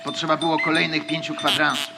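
Man as a high-pitched mock-solemn radio play narrator. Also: little pitch movement, fast, close to an everyday voice. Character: mock-solemn male narrator, politely interrupting, pedantic. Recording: loud music behind the voice.

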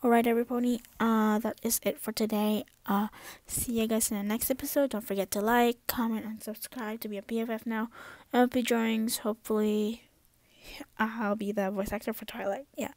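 A woman's voice: fairly high pitch, flat delivery, fairly quick, darkish tone, no echo. A young woman rambling casually in an informal amateur vlog. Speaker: amateur voice impressionist. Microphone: earphone mic, phone at arm's length.

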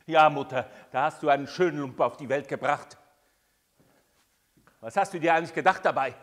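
An actor playing a harsh older man who berates a teenage boy with contempt, his scolding rising to shouts, in a radio drama. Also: on the high side, brisk, big-room sound, lightly acted. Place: studio with concrete walls. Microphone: binaural dummy head.

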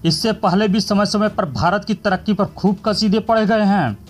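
A man's voice: sits high, moderate pace. A man reading a scripted news voice-over in a dramatic, declarative manner. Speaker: news narrator.

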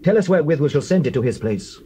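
Man as anxious ancient lord, fairly high, mellow, declamatory, scripture drama, dull cassette. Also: animated, fast, close to an everyday voice. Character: urgent Philistine lord seeking counsel. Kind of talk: dramatized scripture, stage acting. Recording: dull, noisy old cassette.

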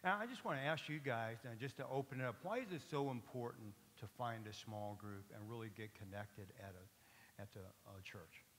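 A man's voice: mid-range voice, moderate pace, fairly bright tone. Upbeat man moderating a church panel. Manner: upbeat and joking. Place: church stage, large hall. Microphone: handheld mic. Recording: reverberant hall with faint hiss.

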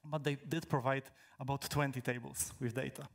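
Young man, from Poland, native Polish speaker, conference talk, handheld mic, large hall, halting, frequent fillers. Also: mid-range voice, steady tone. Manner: halting, many fillers and restarts. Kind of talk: technical talk to an audience. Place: large hall with stage, indoors.

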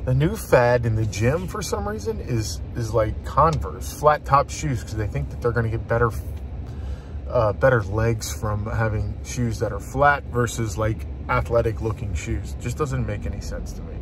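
A man's voice: medium pitch, moderate pace, a little hiss or hum. An American man in his forties chatting casually and informally to viewers as a vlogger. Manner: informal, colloquial. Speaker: outdoor vlogger. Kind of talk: casual vlog chat.

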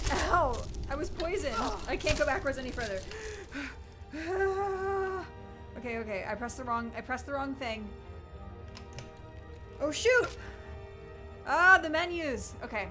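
A young woman playing a video game with a headset, jittery and excitable, swinging from loud yelps to hushed muttering. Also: on the high side. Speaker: gamer. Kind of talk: let's play commentary. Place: small room indoors. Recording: game music loud behind voice. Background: game music.

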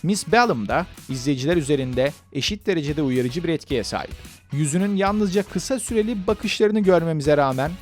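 A man's voice: fairly high pitch, lively intonation, normal speed, soft background music. A man reading scripted narration as the narrator of a countdown video.

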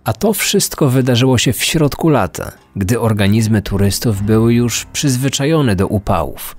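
A man's voice: medium pitch, expressive, normal speed, a little mellow. A man hosting a true-crime podcast in scripted narration. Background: music under the voice.

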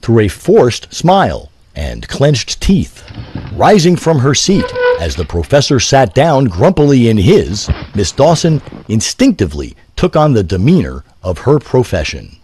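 A man reading a scripted story in a literary, deliberate storytelling manner. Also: mid-range voice, huge pitch swings, darkish tone, a little hiss or hum.

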